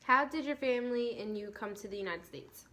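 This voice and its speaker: A woman's voice: fairly high pitch, lively intonation, fairly quick, a bit bright. A woman interviewing her friend for a class project and reading her questions plainly. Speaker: student interviewer.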